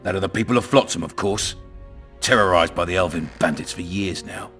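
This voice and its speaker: An actor with a British accent playing a crude, cunning, menacing town commandant in a video game. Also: male voice, deep voice, brisk, fairly bright tone, soft background music. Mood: veiled threat. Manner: domineering, coarse, swaggering. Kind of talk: video game dramatic dialogue.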